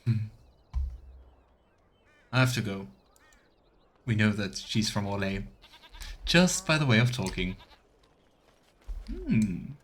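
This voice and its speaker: A man giving casual let's play commentary as a streamer, halting and murmuring to himself as he thinks aloud. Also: lowish voice, big pitch swings. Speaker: streamer playing a video game. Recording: clear background noise, keyboard clicks.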